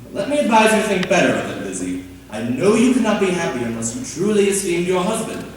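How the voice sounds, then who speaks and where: A man's voice: high-pitched, very animated, fast talker. A man on stage playing a doting, wry older father with an emphatic, theatrical delivery. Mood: earnest, concerned. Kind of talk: amateur stage play acting. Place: theatre stage before an audience. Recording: echoey hall, distant mic, audience noise.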